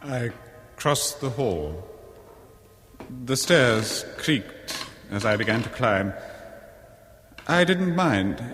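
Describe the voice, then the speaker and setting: An actor in a 1970s horror radio drama playing a jealous husband, haunted and brooding, telling his murderous story in a halting, theatrical way. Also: mid-range voice. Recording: long cavernous reverb on voice.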